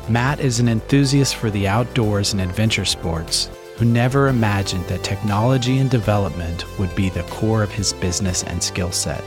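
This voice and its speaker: Smooth male podcast host over music. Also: fairly low pitch. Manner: measured, smooth presenter delivery. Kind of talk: scripted intro and interview questions. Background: music under the intro.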